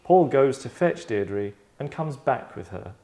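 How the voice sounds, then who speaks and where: A man's voice: medium pitch, lively intonation, measured pace, warm tone. Man hosting a script read, echoey room. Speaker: writer, director and host. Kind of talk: reading stage directions and informal script discussion.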